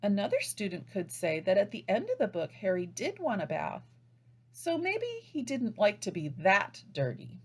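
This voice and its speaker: Husky lady narrating a teacher training, voice moves up and down a lot, reads it out deliberately. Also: mid-range voice, wildly swooping pitch. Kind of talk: scripted instructional narration.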